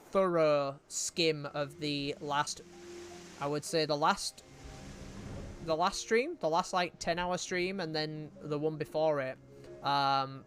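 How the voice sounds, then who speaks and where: A British woman streaming a game live, chatting casually, swearing and reacting to her chat. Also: sits low. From United Kingdom.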